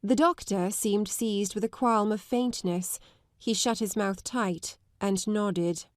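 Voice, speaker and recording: A woman giving a measured, articulate narration of a literary audiobook. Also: mid-range voice, steady tone, no room sound, studio quality.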